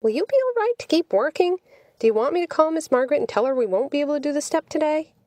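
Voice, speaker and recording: A woman voicing a sweet, chatty young woman who is easily exasperated, in an animated audiobook reading. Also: sits high, fast talker, no echo, voice pushed up. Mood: concerned. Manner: animated, indignant when challenged.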